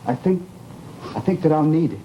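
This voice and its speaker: An actor playing a mild, evasive widower in an old radio drama, polite and hesitant but outwardly cheerful. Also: male voice, a little high, animated, a little fast, lightly acted. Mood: hesitant, determined. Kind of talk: 1940s radio drama acting. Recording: dull, hissy old radio recording.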